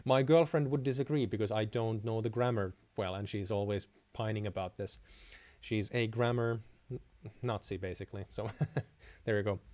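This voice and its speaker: A man's voice: lowish voice, normal speed, a little mellow. A young man from Finland with a Finnish accent, a native Finnish speaker hosting a live Q&A stream, giving blunt, direct advice with many restarts and small chuckles. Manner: chuckles between answers. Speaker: host.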